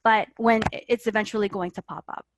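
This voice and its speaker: A woman's voice: mid-range voice, steady tone, brisk, a little hiss or hum. A woman life coach as an interview guest, explaining her ideas earnestly and often checking in with "right?". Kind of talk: motivational interview talk.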